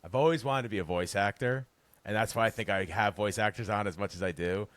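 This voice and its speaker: Podcast guest: man, deep bass voice, loose rambling talk, dry close sound. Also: quick pace, no room sound. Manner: rambling, relaxed, laughs often. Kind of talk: casual interview chat.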